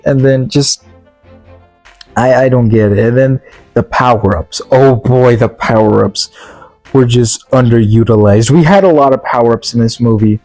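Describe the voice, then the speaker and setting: A man's voice: medium pitch, darkish tone, dry recording, poor audio. A man giving a casual, opinionated review rant in an exasperated, emphatic way, often repeating rhetorical questions. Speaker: movie reviewer.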